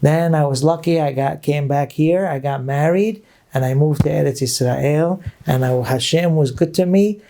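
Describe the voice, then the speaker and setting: A middle-aged man from Brooklyn, speaking as a guest rabbi. His voice sits a little high yet sounds dark and gravelly. He recounts memories earnestly and drops in Hebrew phrases. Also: normal speed, slight background noise. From Brooklyn, New York. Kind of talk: storytelling interview talk.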